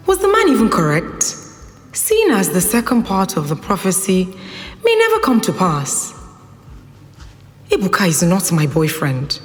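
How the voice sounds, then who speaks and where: A woman's voice: fairly low pitch, slightly rough, in a large hall, exaggerated voice. An actress with a Nigerian accent playing a guarded, sarcastic young woman in an audio drama, with a wry, incredulous manner. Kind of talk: audio drama dialogue and inner monologue.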